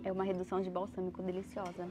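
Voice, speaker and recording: A woman's voice: lowish voice, monotone, rapid-fire, music in the background. A young woman with a Brazilian Portuguese accent, chatting to camera as a travel vlogger while tasting food in a restaurant, on a lapel mic. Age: young adult. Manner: chatty, tasting aloud with murmurs. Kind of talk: casual food vlog to camera. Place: restaurant terrace, indoors.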